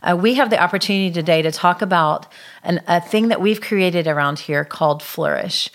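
A woman's voice: fairly low pitch, brisk. A woman hosting a church podcast, enthusiastic and effusive as she introduces her guests. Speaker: podcast host. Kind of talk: podcast conversation.

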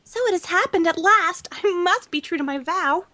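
Very high, melodramatic superheroine played by a woman. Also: moderate pace, sharp tone, no room sound. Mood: resolute. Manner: melodramatic, declamatory. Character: resolute, dramatic young superheroine who turns invisible. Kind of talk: amateur superhero radio play acting.

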